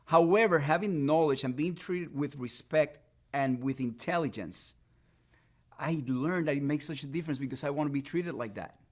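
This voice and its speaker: A man with a Puerto Rican Spanish accent speaks in a fairly high voice. He talks in a thoughtful, earnest way, pausing now and then as he tells his story. Spanish is his first language, and he answers questions as a guest in a relaxed interview. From Puerto Rico. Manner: reflective, earnest storytelling with pauses. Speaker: immigration attorney guest. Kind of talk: conversational podcast interview.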